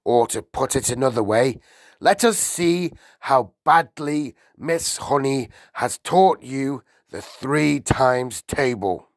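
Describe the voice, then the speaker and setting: A man voicing an enormous tyrannical headmistress in a storybook, with a booming, barking delivery, menacing and full of contempt. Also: lively intonation, slow, no room sound. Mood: barking command. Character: enormous tyrannical headmistress, a bellowing, insulting bully woman.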